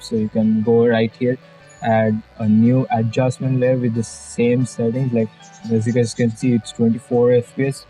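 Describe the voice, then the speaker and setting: A young Indian man with a Bengali English accent talks through a screen-recorded editing tutorial. His voice is mellow and dark, at an ordinary pitch, with faint music under it. He rambles a little, jokes with viewers and sprinkles in fillers. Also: rough recording. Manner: chatty, jokey asides, many fillers. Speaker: YouTube tutorial host. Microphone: budget external mic.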